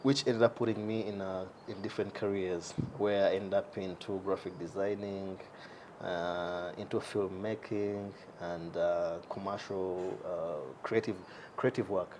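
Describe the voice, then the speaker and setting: A man's voice: sits low, steady tone, a little slow, a little hiss or hum. A young man as an interview guest, talking casually with many fillers and easy laughs. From Uganda. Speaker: guest, entrepreneur.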